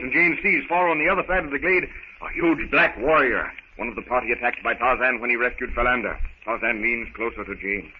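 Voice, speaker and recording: A man narrates a 1930s radio adventure. His voice is medium in pitch, with a formal, descriptive storytelling delivery. The recording is muffled and hissy.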